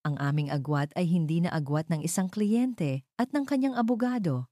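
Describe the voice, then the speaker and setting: A woman narrator reads a scripted first-person drama. Her voice sits very low for a woman and has a dark, soft-edged tone. The sound is close and dry, with no room around it. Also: expressive, normal speed, no room sound. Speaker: story narrator.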